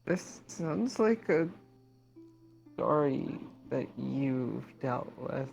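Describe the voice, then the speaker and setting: A man's voice: medium pitch, expressive, very slow, gravelly, darkish tone, poor audio. A man in improvised in-character roleplay chat, asking things in an innocently probing, puzzled way. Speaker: online roleplayer. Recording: music playing behind the voice. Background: music.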